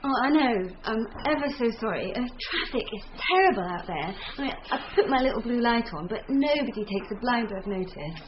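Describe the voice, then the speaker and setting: A woman's voice: a little high, lively intonation, fairly quick, hardly any pauses, lightly acted. A woman playing an eager, apologetic young British policewoman in a radio drama, chatty and deferential. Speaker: actor. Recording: dull, noisy radio-drama recording.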